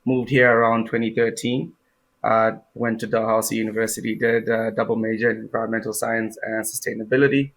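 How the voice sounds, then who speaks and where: A man's voice: fairly low pitch, level tone, a little fast, a bit bright, low-quality recording. A young man from Zimbabwe, a guest in an interview, answering with many fillers and restarts.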